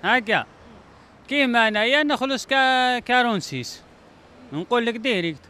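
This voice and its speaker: A man's voice: extremely high pitch, expressive, normal speed, bright, forceful tone. A middle-aged man with an Algerian accent, giving short casual answers in a street interview. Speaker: passer-by being interviewed. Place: outdoors on a busy city street. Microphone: handheld microphone. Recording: faint street noise.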